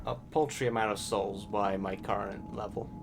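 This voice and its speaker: A man with a fairly low voice gives casual commentary as he plays a video game. He talks in offhand, unscripted asides. Loud game music plays behind his voice throughout. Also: fairly even intonation, moderate pace, gravelly. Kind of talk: casual let's-play commentary.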